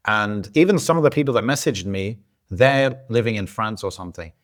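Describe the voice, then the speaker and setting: A man co-hosting a podcast, talking in a relaxed, casual way with lots of fillers and restarts. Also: fairly low pitch, a little fast. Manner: relaxed, loose storytelling with many fillers and restarts. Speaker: podcast co-host. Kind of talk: casual chat. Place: lives in Algeria.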